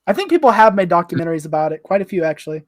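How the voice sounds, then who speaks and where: A young adult man chatting casually as a podcast guest, with a joking, animated manner. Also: high-pitched, racing, no echo, clean studio sound. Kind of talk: casual chat.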